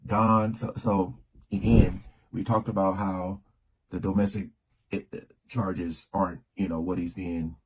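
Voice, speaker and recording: Husky, mellow low-voiced man, thinking aloud. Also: little pitch movement, rough recording. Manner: halting, repeats words while thinking aloud. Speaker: commentator. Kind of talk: casual opinion commentary.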